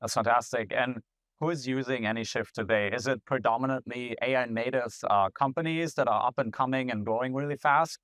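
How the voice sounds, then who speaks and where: An upbeat man runs a casual interview, often repeating a word before he gets going. His voice sits at a middle pitch and sounds close and dry. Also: fairly quick, fairly bright tone, no echo. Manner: upbeat, enthusiastic, repeats words as he starts sentences. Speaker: interviewer, company CEO. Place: event venue.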